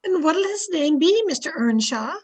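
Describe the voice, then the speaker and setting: A woman playing a dutiful housekeeper who narrates a family's story in an old-time radio drama, deliberate and storytelling in manner. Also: high-pitched, very animated, a little mellow. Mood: obliging. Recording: home recording with music.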